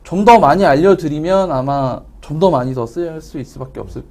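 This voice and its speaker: A man's voice: a little high, fairly quick, a little mellow. A man explaining technical material as a company representative in a webinar interview.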